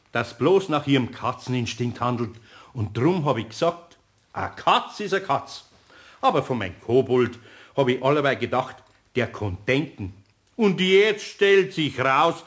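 A man's voice: medium pitch, fairly bright tone. A man playing an older, good-natured master carpenter in a German children's radio play, speaking with a Bavarian accent and teasing in a dry, unruffled way.

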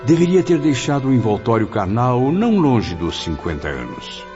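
A man's voice: medium pitch, big pitch swings, normal speed, lightly acted. A man playing a reflective, reverent spirit who narrates his afterlife memories in a radio drama, in a literary, emotive way. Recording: dull sound, music behind.

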